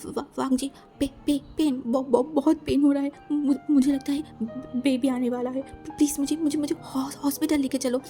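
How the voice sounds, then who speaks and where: A woman's voice: high-pitched, level tone, normal speed, mellow, faint music behind, voice pushed up, lightly acted. A woman voicing a stammering young man in agonising labour. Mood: pained begging. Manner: stammering, breathless, pleading.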